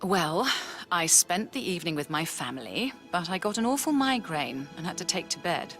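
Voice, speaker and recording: A woman's voice: lowish voice, big pitch swings, normal speed, fairly bright tone, soft background music. A woman voicing a haughty, guarded upper-class family member in a video game, composed and politely disdainful. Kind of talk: video game cutscene acting.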